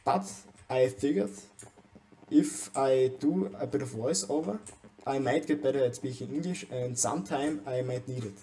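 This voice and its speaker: A young Austrian man with an Austrian German accent gives a game base tour in English, his second language. His voice is dark and mellow, and he stumbles often, repeating and restarting words as he searches for them. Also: mid-range voice, normal speed. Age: young adult. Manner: hesitant, frequent restarts and stumbles. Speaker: gaming channel host.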